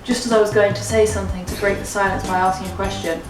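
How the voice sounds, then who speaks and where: A woman's voice: mid-range voice, level tone, fast talker. Woman reading aloud in an echoey room. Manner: theatrical, giggly, playfully hammy. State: drunk. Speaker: reader of fan fiction for a comedy web show. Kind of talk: jokey dramatic story reading. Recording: echoey room, distant mic, music underneath.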